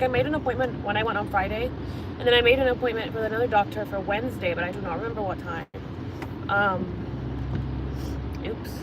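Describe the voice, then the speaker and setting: A young woman from England chatting anxiously while driving on a rainy freeway, full of fillers. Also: a little high. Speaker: vlogger. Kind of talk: casual vlog while driving. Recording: loud road and rain noise. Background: road and rain noise.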